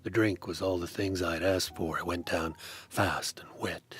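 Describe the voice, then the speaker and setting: Deep-voiced deadpan noir narrator, man. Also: a little slow, soft background music. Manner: dry, deadpan, wry. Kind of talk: hardboiled detective noir narration.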